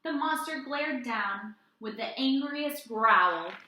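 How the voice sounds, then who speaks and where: A woman teacher reading a story aloud and chatting to young children in an expressive way. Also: a little high, takes it slowly. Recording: roomy indoor echo.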